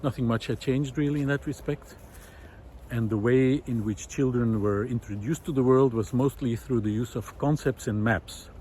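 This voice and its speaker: A middle-aged man musing aloud in a reflective, hesitant monologue with frequent pauses, recorded while walking outdoors. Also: medium pitch, mellow, rough recording. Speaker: visual artist and vlog host. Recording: outdoor ambient noise.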